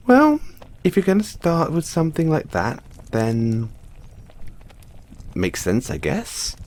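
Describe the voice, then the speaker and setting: A man playing a giddy, affectionate mad scientist boyfriend in an audio roleplay, excitable and theatrical, coaxing the listener along. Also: fairly high pitch, slow pace, long pauses, exaggerated voice. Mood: coaxing, hesitant.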